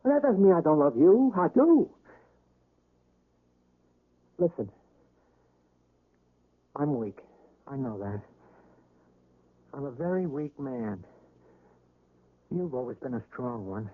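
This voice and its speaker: An actor playing a weak, guilty husband, stammering and defensive, in a radio drama. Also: male voice, medium pitch, sing-song, slow, long pauses, breathy edge, narrow, tinny audio, full character voice. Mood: flustered, defensive. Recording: thin, band-limited old radio recording.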